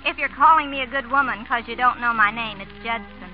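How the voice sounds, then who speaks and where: A woman's voice: fairly high pitch, fairly quick, natural voice. An actress playing a proud, plain-spoken small-town American woman in old radio comedy. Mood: proud, indignant. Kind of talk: 1930s-40s American radio comedy acting. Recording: dull old radio recording, faint music.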